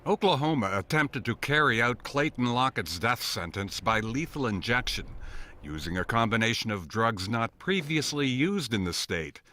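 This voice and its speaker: A man's voice: medium pitch, lively intonation, moderate pace, bright, forceful tone. A man giving a scripted report as a news correspondent.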